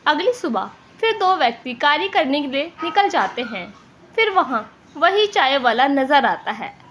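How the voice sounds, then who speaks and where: High-pitched, unhurried woman storyteller. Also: big pitch swings, a bit bright, a little hiss or hum. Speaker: storyteller, narrator. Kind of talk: scripted cartoon story narration.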